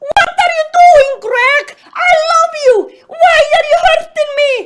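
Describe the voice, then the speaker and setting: A woman's voice: very high voice, a little fast, very noisy. A woman playing a melodramatic, heartbroken young woman who wails accusations from a story. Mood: anguished. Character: Jennifer, a sobbing, screaming heartbroken young woman.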